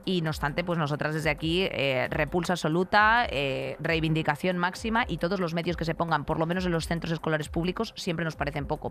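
A woman's voice: fairly low pitch, a bit bright, slight background noise. A woman in her thirties with a Castilian accent from Spain, giving blunt, emphatic, colloquial opinions as a podcast co-host. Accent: Castilian Spanish from Spain. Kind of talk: casual opinionated commentary. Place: radio-style podcast studio. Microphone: close studio microphone on desk.

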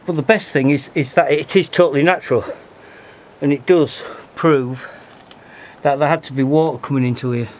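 A man with a somewhat high voice talks eagerly on a handheld camera while exploring a rocky cave in the woods. His commentary is casual and addressed to a companion beside him. A faint outdoor hiss sits under the camera audio. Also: moderate pace. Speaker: amateur explorer filming a cave.

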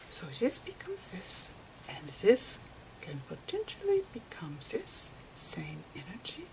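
Slow whispering woman, ASMR lecture. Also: lowish voice, poor audio. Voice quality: whispery, breathy. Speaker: ASMR performer playing a physicist. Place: close over a chalkboard on a table indoors.

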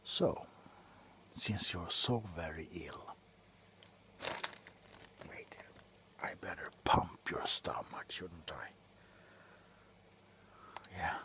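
A tipsy man playing a callous, grumpy doctor in a slow, sullen ASMR roleplay, whispering sarcastically up close. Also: low voice, expressive, pushed and bright, full character voice. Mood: grumbling, annoyed. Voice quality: breathy whisper. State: drunk. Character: grumpy, callous, drunken male doctor on a house call. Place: small cluttered room indoors.

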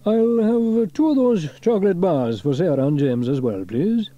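A man's voice: high-pitched, animated, normal speed, warm tone, no room sound, lo-fi sound, pitched above the performer's own voice. A man voicing a friendly, capable firefighter hero in a children's story, with hearty delivery. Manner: hearty, clear commands.

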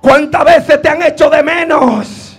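A man's voice: extremely high pitch, a little slow. A man preaching a fervent Pentecostal sermon in a strained voice, exhorting and insistently repeating his phrases. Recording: preaching over faint background music. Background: faint music.

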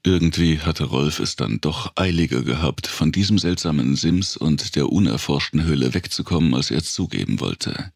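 A man with a gravelly, husky voice reading scripted audiobook narration of a dark thriller. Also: rumbling low, lively intonation, normal speed, hardly any pauses, dry recording, clean studio sound.

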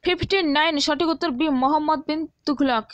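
A woman's voice: high voice, brisk, no echo, dull audio. A woman teaching through an exam answer key in an instructional way, often checking in with listeners.

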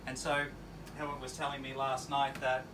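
An enthusiastic middle-aged man walks through a sailing yacht like a salesman, his voice sitting at an ordinary, middle pitch. He sounds a bit set back from the lapel mic. Clear marina background noise runs under him. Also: completely flat delivery, moderate pace, recorded from a distance. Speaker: yacht broker. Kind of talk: presenting a walkthrough tour. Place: aboard a moored sailing yacht, deck and cabins.

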